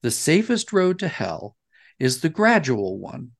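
Earnest male guest with creaky voice. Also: a little high, sing-song, measured pace. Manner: earnest, emphatic, explanatory. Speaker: interview guest, audio dramatist. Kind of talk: reflective interview talk.

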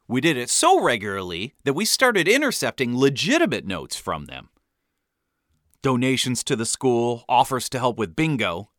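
A man's voice: mid-range voice, fairly bright tone, dry recording, studio quality. An American man narrating a scripted comedic memoir in a wry, theatrical storytelling manner.

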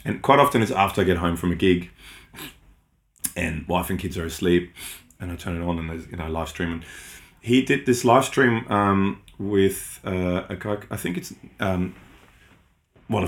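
A middle-aged man from Austria, German his first language, co-hosts a relaxed podcast. His voice sits on the low side, and he wanders through his stories with plenty of ums and self-corrections. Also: moderate pace, creaky. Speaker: podcast co-host. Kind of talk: casual chat.

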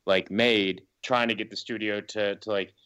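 Man, smooth low voice, casual podcast guest, opinionated, rambling, casually sweary. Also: fairly even intonation, moderate pace, sharp tone. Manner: rambling, hedging with frequent "like". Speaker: guest filmmaker.

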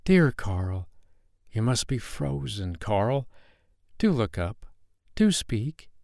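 A man voicing a caring eldest sister in a storybook, a tender, anxious girl with a gentle, motherly manner. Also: medium pitch, sing-song, slow pace, vocal fry, no room sound, clean studio sound, heavily acted.

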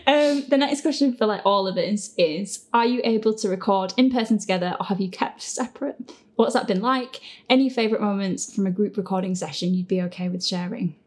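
A woman's voice: mid-range voice, a little fast. A woman hosting a casual group question-and-answer chat, prone to giggling as she talks. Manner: giggly, breaks into laughter while talking. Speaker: podcast Q&A host and show creator.

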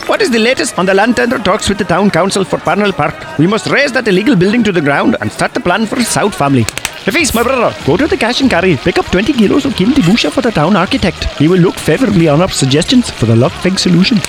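An actor playing an imperious, scheming restaurant owner in an audio comedy, quick to insult and to flatter. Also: male voice, sits high, over music. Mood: bossy, scheming. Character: bossy, scheming male restaurant owner, fawning to customers. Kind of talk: audio comedy drama acting.

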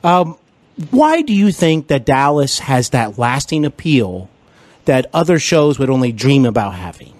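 A man hosting an interview podcast, enthusiastic and complimentary, often pausing with fillers. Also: medium pitch, very animated, no room sound. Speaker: podcast host.

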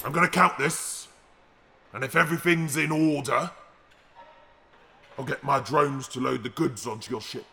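Bitter underworld dealer, creaky, bright and forceful, distrustful, audio drama villainy. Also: male voice, medium pitch, moderate pace, pauses often, a little hiss or hum. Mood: wary, businesslike. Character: bitter, wary male underworld arms dealer, betrayed.

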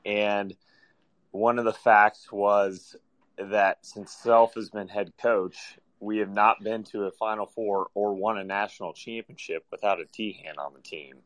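A slightly hoarse man chatting casually as a caller, in a hesitant, halting way. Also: lowish voice, fairly even intonation, no room sound, rough recording. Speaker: caller to a podcast. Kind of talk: casual chat.

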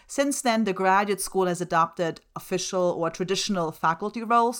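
A woman's voice: fairly low pitch, a bit bright. A middle-aged woman from Germany, a native speaker of German and Slovak, explaining things in an articulate, earnest way as an interview guest. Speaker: interview guest, writing center director and associate professor.

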